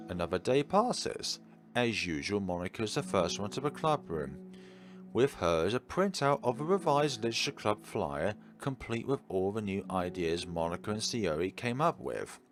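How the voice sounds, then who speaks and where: Deep-voiced man narrating, with lively swings. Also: a little slow. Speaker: video game playthrough host. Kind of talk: reading a visual novel aloud with commentary. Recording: music behind the voice. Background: game music.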